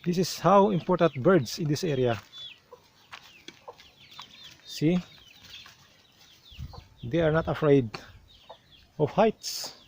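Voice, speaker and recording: A Filipino man vlogging outdoors on a hilltop, explaining the sights in an unhurried way, sometimes restarting his words. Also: fairly high pitch, expressive, gravelly, a little mellow, slight background noise. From Philippines. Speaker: travel vlogger. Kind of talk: outdoor travel vlog narration.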